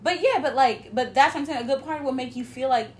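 A woman's voice: high voice, quick pace. A woman around thirty in casual chat, talking loosely with lots of filler words. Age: 30s.

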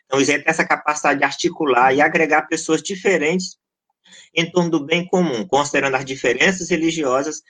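A man's voice: on the high side, fairly quick, fairly bright tone. A middle-aged Brazilian man, a pastor and social scientist, answering interview questions in an earnest, passionate way with emphatic lists and frequent restarts. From Brazil, born in Maranhão and raised in Goiás.

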